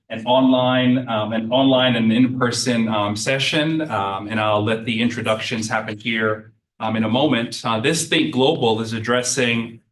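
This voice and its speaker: A man giving halting opening remarks at an academic talk, with many ums and uhs. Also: mid-range voice, fairly bright tone, echoey room, low-quality recording. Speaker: event host. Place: university seminar room.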